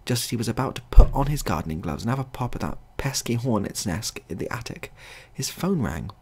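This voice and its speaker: A man narrating a scripted comic short story as a storyteller, in a husky, gravelly voice. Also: mid-range voice, lively intonation, a little fast.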